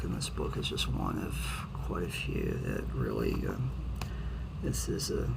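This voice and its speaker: A man, an author who reads his own poetry and talks to viewers, with a gravelly, creaky voice. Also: very deep voice. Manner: hesitant, self-deprecating when talking to viewers. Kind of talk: dramatic poetry reading, then casual rambling talk to viewers. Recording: clearly noisy amateur home recording.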